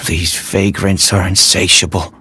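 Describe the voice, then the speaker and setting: A man voicing a worried but level-headed village father in a video game, hesitant and measured. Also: low voice, flat delivery, heavy background noise. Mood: uneasy, hesitant.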